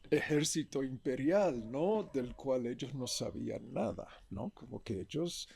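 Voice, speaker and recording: A man's voice: medium pitch, animated, measured pace, vocal fry, darkish tone, a little hiss or hum. A man in his seventies from a Puerto Rican family, talking as a guest in a casual interview, rambling with tag questions and frequent self-corrections. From Puerto Rican family, born in Texas. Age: 70s. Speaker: guest artist.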